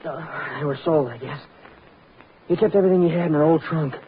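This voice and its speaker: A man portrays a desperate young man on the run in a vintage radio play. His fairly high voice is weary and halting, pleading to be believed. The recording is tinny, with faint noise. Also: lightly acted. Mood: halting, exhausted. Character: exhausted, frightened young fugitive, desperate and pleading. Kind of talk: 1940s-50s radio drama acting. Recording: noisy, tinny old-time radio recording.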